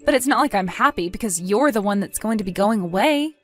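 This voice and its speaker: A woman's voice: medium pitch, lively intonation, brisk, natural voice. Woman acting as a polite, reassuring wife over music. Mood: defensive. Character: evasive, cheerful wife chatting with her husband. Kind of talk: scripted dialogue in an audio drama story.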